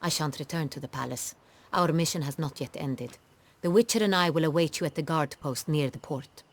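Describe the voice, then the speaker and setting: A woman's voice: deep voice, a little fast. An actress playing an imperious, impatient noblewoman ruler in a video game, speaking in formal, commanding, archaic phrases. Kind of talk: dramatic video game acting.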